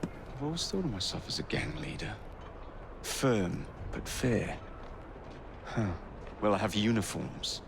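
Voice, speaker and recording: A brash, cocky young Englishman with a boastful grin in his voice. His pitch jumps around a lot. Clear noise sits under this game scene. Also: very animated, a little slow, long pauses, exaggerated voice. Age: 20s. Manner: cheeky, boastful. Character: brash, cocky young man gang leader.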